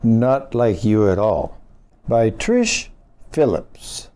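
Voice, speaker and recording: Slow, mellow man reading a lively storybook. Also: fairly low pitch. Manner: unhurried, animated, addressing young listeners. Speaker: storybook reader for children. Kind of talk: expressive read-aloud of a rhyming picture book.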